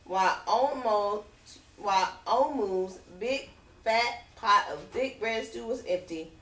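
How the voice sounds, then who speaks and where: A woman reading a children's story aloud in an expressive, dramatic way, drawing out words for effect. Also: medium pitch, takes it slowly. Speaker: picture book reader. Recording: roomy echo, distant from microphone.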